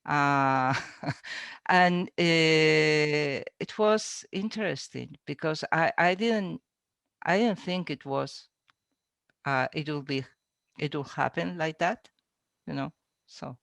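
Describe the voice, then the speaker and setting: A woman from Spain speaking English, her Chinese. She talks slowly and haltingly, repeating words as she restarts, with a gravelly fry in her voice. The sound is dry and close, as on a video call. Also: sits low, fairly bright tone, dry recording. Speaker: writer and panelist.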